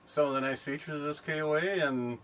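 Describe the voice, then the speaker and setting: A middle-aged man chatting casually and unscripted for a vlog, explaining things in a rambling, halting way. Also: a little high, a bit bright. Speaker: camping and ham radio vlogger. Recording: distant mic, background noise.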